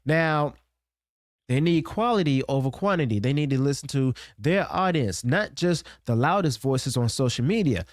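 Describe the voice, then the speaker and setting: A man's voice: mid-range voice, expressive, normal speed, dry recording, studio quality. A man giving opinionated, unscripted commentary, punctuating his points with a questioning "okay?". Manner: emphatic, keeps tagging points with "okay?" and "now"; loose and unscripted, with restarts. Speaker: online commentary host.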